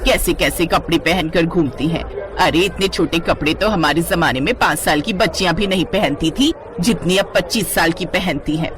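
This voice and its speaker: A woman's voice: fairly low pitch, normal speed, music in the background, natural voice. A woman playing a nagging, old-fashioned older mother-in-law in a moral story cartoon, indignant and exclaiming. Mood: scandalized.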